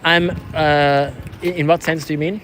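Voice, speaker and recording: A man's voice: on the high side, little pitch movement, moderate pace, crowd noise. A man from Switzerland, a non-native English speaker, chatting casually and conversationally as he vlogs out on a street. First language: not English, learned English after moving to England. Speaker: vlogger, protester. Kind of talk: casual chat. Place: outdoors on a city street at a protest. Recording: outdoor street noise with traffic.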